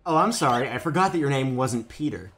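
A man playing an awkward middle-aged man in an improvised parody game dub, halting and over-polite as he pleads. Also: mid-range voice, lively intonation, fairly bright tone, close to an everyday voice. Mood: pleading, awkward. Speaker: voice actor in a comedy fan dub.

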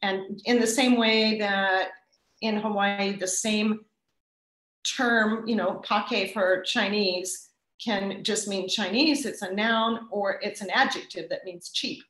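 A middle-aged woman answering audience questions after her talk, thoughtful and pausing as she restarts her sentences. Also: mid-range voice, fairly even intonation, a bit bright, reverberant, low-quality recording. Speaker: guest speaker.

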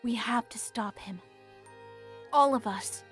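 Woman acting a high-voiced, earnest young heroine. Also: slow, a bit bright, faint music behind. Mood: determined. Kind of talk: dramatic audio drama acting.

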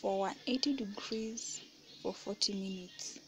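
A woman narrating her home baking video in a relaxed, soft-spoken way, often half-whispering. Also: mid-range voice, measured pace, noisy background. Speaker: baking vlogger. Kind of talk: casual kitchen voice-over. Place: home kitchen.

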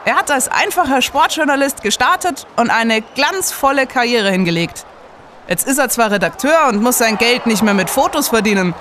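A man's voice: very high-pitched, bright, forceful tone, heavily acted. A man playing a matter-of-fact, knowing young student detective in a radio play, explaining things. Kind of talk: scripted radio play acting. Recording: noisy crowd backdrop.

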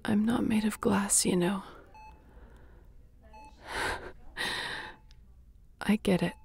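Woman acting a gravelly, tender spaceship captain. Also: mid-range voice, fairly even intonation, normal speed. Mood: hushed, loving. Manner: soft, sighing, emotionally open. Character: battle-worn female spaceship captain, tender and wry. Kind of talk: intimate audio roleplay acting.

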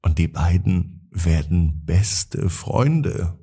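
A man narrating a scripted bedtime story for children in a gentle, drawn-out, expressive way. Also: deep voice, slightly rough.

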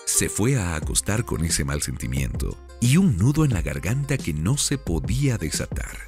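A solemn man acting as a radio soap storyteller with a low voice. His delivery swings expressively, with faint music underneath. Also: sing-song, normal speed, a little mellow. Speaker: narrator. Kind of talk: dramatic radio drama narration.

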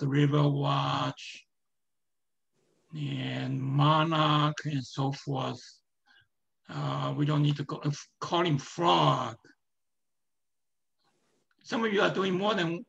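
A man with a hoarse, strained voice giving a live screen-share presentation, talking in a halting way with many fillers and restarts. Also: fairly high pitch, highs cut off.

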